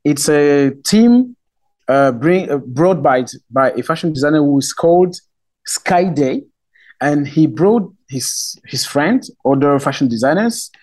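A middle-aged man from Cameroon with a Cameroonian accent, speaking English as a second language. He is a festival spokesman in a radio interview, talking haltingly with many fillers and restarts. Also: a little high, animated, a little mellow, poor audio. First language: Romala.

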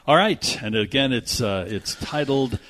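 A man's voice: medium pitch, expressive, a little slow, fairly bright tone. An American man hosting a radio movie show, chatting casually and enthusiastically, with some rambling and live ad reads.

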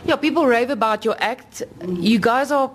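A woman radio interviewer asking brisk, probing questions. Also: a little high, animated, crowd noise.

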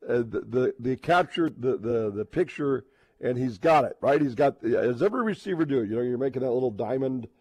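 A man hosts casual sports radio talk in a mid-pitched voice with a dry, close sound. He talks haltingly, circling and repeating words as he works up to his point. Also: animated, a little mellow, no room sound.

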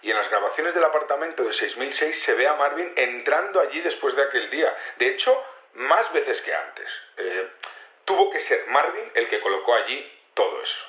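An actor playing a patient but hesitant security investigator being interviewed in a naturalistic audio drama, explaining things colloquially with repetitions and tag questions. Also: male voice, mid-range voice, sing-song, moderate pace, roomy sound, dull audio, natural voice. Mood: patient, confident. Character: male security investigator, patient, hesitant, self-assured in his theory.

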